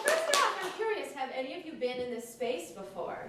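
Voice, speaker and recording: A woman hosting a live interview in a gushing, animated manner. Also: high-pitched, a little fast. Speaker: host. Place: large echoey room with guests on a couch. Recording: echoey room, distant mic, faint noise.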